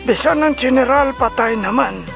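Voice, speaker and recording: A man's voice: extremely high pitch, gravelly, music in the background. A man playing a solemn commander mourning a fallen hero in an audio drama. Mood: solemn, regretful. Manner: solemn, deliberate.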